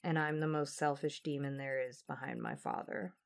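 A woman in an audio drama playing a proud, guarded demon princess with a solemn, formal, measured manner. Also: very deep voice, huge pitch swings, creaky, heavily acted. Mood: bitter, resolute.

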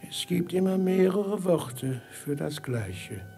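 An actor playing a fatalistic, knowing beggar sage in a 1950s radio drama, with creaky voice and measured, cryptic delivery. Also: male voice, high voice, sing-song, warm tone, faint music behind, full character voice.

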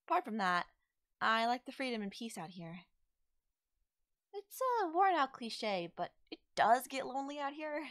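A woman's voice: on the high side, sing-song, a bit bright, no room sound, clean studio sound. A woman playing a teasing young forest witch in an audio roleplay, coy and soothing as she talks directly to the listener. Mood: breezy, confiding. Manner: coy, soothing, conversational with drawn-out words. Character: playful, teasing young female forest witch, gently reassuring. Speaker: roleplay voice actor.

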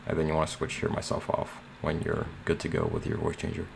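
Super low creaky guy doing a casual computer tutorial, noisy background. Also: completely flat delivery, fast. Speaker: tutorial host. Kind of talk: casual screen tutorial narration. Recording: clear background noise.

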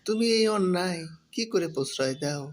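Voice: medium pitch, animated, a little fast, natural voice. A performer voicing a scolding, reproachful male cowherd in a cartoon. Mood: accusing. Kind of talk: cartoon voice acting.

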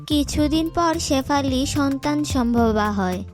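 Woman narrator, cartoon story, high voice, unhurried, music underneath. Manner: calm, measured storytelling. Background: music score.

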